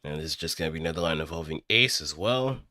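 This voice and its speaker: A man with a low voice talks casually on a live stream as he practises voice acting. His pitch moves around in a lively way, and the sound is close and dry. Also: fast, no room sound.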